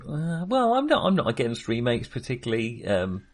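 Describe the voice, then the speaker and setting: A man's voice: medium pitch, huge pitch swings, moderate pace. A man co-hosting a film podcast, talking casually in a tentative, self-qualifying, agreeable way.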